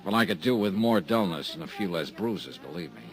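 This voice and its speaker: An actor playing a wisecracking insurance investigator in a 1950s radio drama, talking dry and laconic. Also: male voice, sits low, animated, a little fast. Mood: flirting. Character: wry tough male private insurance investigator. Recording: old radio recording with music.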